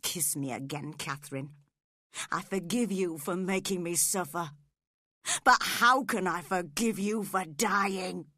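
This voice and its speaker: A woman's voice: sits low, fairly even intonation, slow, a bit bright, no echo, clean studio sound, full character voice. A woman voicing a passionate, brooding man anguished by love, dramatic and impassioned, in a storybook reading. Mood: wounded, tender.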